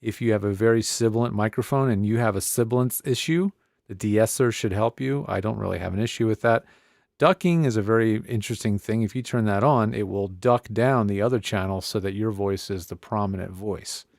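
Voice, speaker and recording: A man's voice: on the low side, fairly quick, creaky, darkish tone, dry recording. A man presenting a casual hands-on audio gear tutorial, thinking aloud in a conversational way on a close studio microphone. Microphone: close studio podcast microphone.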